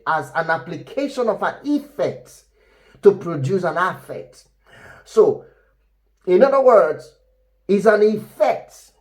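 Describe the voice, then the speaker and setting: A man with a loud, full voice that sits fairly high for a man. He gives relationship advice in an emphatic, repetitive way and keeps checking that the listener is following. His delivery is unscripted and direct. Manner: emphatic and repetitive, with frequent "you know" and "all right?" check-ins. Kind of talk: unscripted advice talk to camera.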